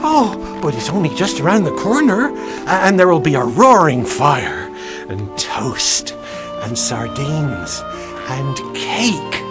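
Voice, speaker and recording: A woman's voice: low-pitched, sing-song, slow pace, over music, exaggerated voice. A woman playing a courteous, nervous, wistful faun in a children's radio drama, with a genteel, flowery, theatrical delivery. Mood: eager, hospitable. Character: courteous, nervous, wistful faun host of a snowy fantasy land.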